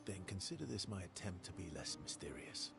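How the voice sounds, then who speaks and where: An actor playing a guarded, wry, mysterious man in a video game cutscene, measured and dryly teasing. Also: low voice, sing-song, creaky, exaggerated voice. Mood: calm, teasing. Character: guarded, wry, mysterious male stranger, calm ally. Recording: music behind the voice.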